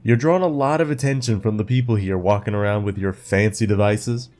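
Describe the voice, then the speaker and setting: A man playing a suspicious, gruff neighborhood guard who questions outsiders in a slow, threatening way. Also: lowish voice, fairly even intonation, a little mellow, natural voice. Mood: wary. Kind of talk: fan audio drama acting. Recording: faint music behind.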